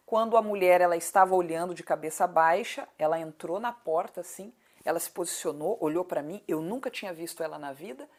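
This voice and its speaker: A woman of thirty-eight with a southern Brazilian accent, answering conversationally as an interview guest, emphatic, repeating points and asking rhetorical questions. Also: fairly low pitch. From Paraná, southern Brazil. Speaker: city council candidate, interview guest.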